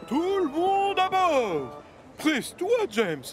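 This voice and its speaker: An actor playing a bossy, fussy railway controller in a children's cartoon, authoritative and easily flustered. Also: male voice, very high voice, wildly swooping pitch, slow, heavily acted. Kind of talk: dubbed children's cartoon acting. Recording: music behind the voice.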